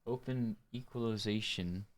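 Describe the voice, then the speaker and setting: A man with a fairly low, clean and resonant voice talks casually on a livestream. He takes his time, muttering and thinking aloud as he fiddles with his setup, speaking close to the microphone. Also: measured pace. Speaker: livestreamer and amateur voice actor. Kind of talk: casual livestream chat while fiddling with audio software.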